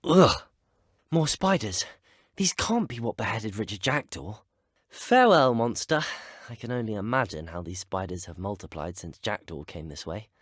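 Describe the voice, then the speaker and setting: Husky, well-spoken young British student wizard. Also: male voice, lowish voice, big pitch swings, moderate pace, no echo, studio quality. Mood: alarmed, then wry, uneasy. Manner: polite, well-spoken, formal. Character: polite, curious, brave teenage student wizard. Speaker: video game player character. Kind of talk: scripted video game dialogue.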